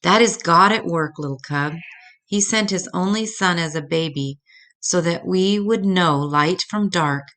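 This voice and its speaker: A mother bear from a children's storybook , read by a woman, with a low voice. She explains things patiently and tenderly, with devout reassurance. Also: unhurried, fairly bright tone. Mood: tender, reassuring.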